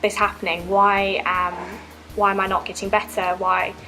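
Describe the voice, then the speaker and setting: A young woman shares her faith journey in an earnest, reflective way, often pausing with ums. Her voice sits at a middle pitch. Soft music plays faintly underneath. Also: little pitch movement, rough recording. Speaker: church member giving baptism testimony. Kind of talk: personal testimony, reflective.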